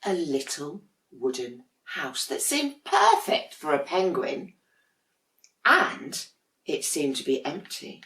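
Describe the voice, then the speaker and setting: A woman, a teacher, reading a children's storybook expressively with dramatic pauses in an echoey room. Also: low-pitched, huge pitch swings, fairly bright tone.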